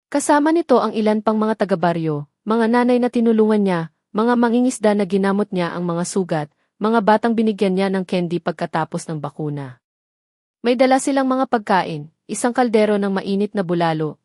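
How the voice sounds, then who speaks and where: Filipino lady narrator doing a dramatic love story read, mid pitch, really dry recording. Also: darkish tone, dry recording.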